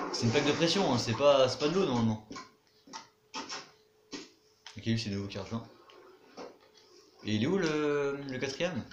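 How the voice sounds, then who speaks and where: A man's voice: medium pitch, roomy sound, a little hiss or hum. Chatty young man narrating his gameplay. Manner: chatty, mutters to himself, swears casually. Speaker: gaming YouTuber. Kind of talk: casual gameplay commentary.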